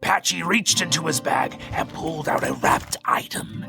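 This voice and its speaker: A man playing a sly, folksy Old West storyteller with a gravelly voice and American accent, narrating a western audio drama theatrically. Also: fairly high pitch, moderate pace. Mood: ominous storytelling. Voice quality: gravelly, creaky. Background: music.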